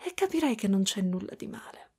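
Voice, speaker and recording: A woman voicing a gentle, protective spider-woman mother in an intimate ASMR roleplay, soft and breathy, often slipping toward a whisper. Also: mid-range voice, big pitch swings, a little fast. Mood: tender, reassuring. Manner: soft, cooing, hushed, drifting into whispers.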